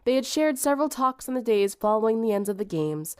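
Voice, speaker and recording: A woman's voice: fairly high pitch, animated, fairly quick, clear, resonant tone, dry recording, studio quality. A woman reading scripted fiction as an audiobook narrator.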